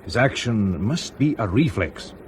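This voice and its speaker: An actor playing a loyal, experienced airline chief in a radio drama, with a clipped, emphatic and assured manner. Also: male voice, fairly low pitch, animated, measured pace, background noise. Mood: firm, emphatic. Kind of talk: BBC radio drama acting.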